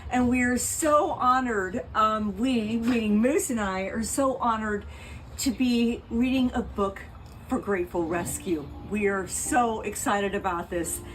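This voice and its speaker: A woman reading a children's picture book aloud in an expressive, deliberate way, with playful asides to her listeners. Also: on the high side, faint music behind, rough recording. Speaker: picture book reader for a pet rescue show. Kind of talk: animated children's storybook read-aloud.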